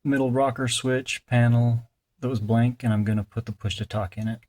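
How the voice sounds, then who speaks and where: A man's voice: mid-range voice, fairly even intonation, normal speed, a little mellow. A man giving a casual, step-by-step installation tutorial in a plain-spoken, folksy way. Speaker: installer presenting a how-to. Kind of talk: casual hands-on tutorial narration. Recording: clear background noise, occasional clicks.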